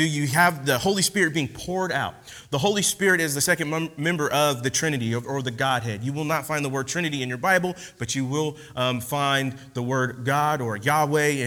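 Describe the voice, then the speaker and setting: A man giving a casual, slangy sermon after an opening prayer, talking with many fillers. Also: mid-range voice. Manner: relaxed, slangy, animated teaching with many fillers. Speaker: preacher. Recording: long hall reverb.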